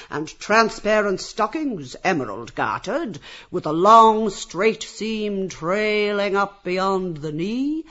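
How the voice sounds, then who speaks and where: A man's voice: high-pitched, fairly bright tone, lo-fi sound, pitched above the performer's own voice, full character voice. A man voicing a cruel, jeering brothel boss who barks and taunts like an auctioneer in a theatrical audiobook. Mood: sly, commanding. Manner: taunting, bullying, theatrical barking. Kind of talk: theatrical audiobook character reading.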